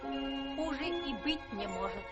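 An actress in a Russian radio play playing a maidservant who talks in a curt, matter-of-fact manner. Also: female voice, high-pitched, expressive, slow pace, vocal fry, dull audio, music in the background, heavily acted. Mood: curt, hushed. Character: brusque, hushed maidservant. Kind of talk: Russian theatrical radio drama acting.

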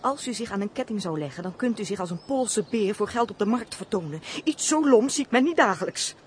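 An actress playing a pert, haughty castle servant in a radio play, chatty and sharp-tongued in a theatrical way. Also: female voice, mid-range voice, expressive, a little fast, a little hiss or hum, close to an everyday voice. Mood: indignant, taunting. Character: Lotje, pert, haughty female castle servant, easily offended. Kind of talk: Dutch radio play acting.

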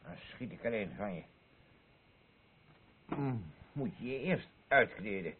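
A man acting a boisterous, rambling alcoholic husband in a Dutch radio play, halting and swinging between elation and weariness. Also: medium pitch, lively intonation, phone-quality sound, noisy background. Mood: gruff, goading.

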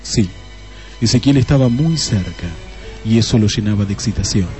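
A man's voice: fairly low pitch, moderate pace, mellow, soft background music, natural voice. An actor playing the narrator of a comic detective radio play, a man reading scripted storytelling in Spanish.

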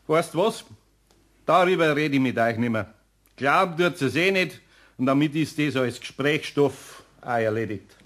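A man's voice: a little high, lively intonation, normal speed, a bit bright. A man playing a grumbly, good-natured older master craftsman in a radio play, speaking with a Bavarian accent. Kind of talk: German radio play acting.

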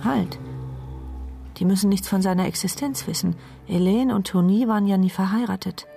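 A woman's voice: medium pitch, normal speed, creaky, lightly acted. An actress playing an anxious woman sleuth who cannot speak, narrating her intense thoughts in a radio play. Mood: brooding, urgent. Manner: intense, brooding inner monologue. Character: mute paralysed woman sleuth, anxious inner voice. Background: music.

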